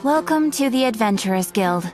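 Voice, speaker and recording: A woman plays a courteous young female receptionist in a fantasy anime game. Her voice is fairly high and her delivery formal and composed, with clear music behind it. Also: lively intonation, moderate pace, darkish tone, natural voice. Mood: polite, businesslike.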